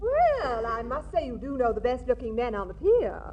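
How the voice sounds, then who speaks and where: Much-married socialite princess, highish swooping voice, flirty and gushing, muffled vintage radio comedy. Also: female voice, very animated, normal speed, a little mellow. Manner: gushing, chatty, teasing. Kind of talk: 1940s radio comedy acting. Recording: muffled old 1940s radio recording with faint noise.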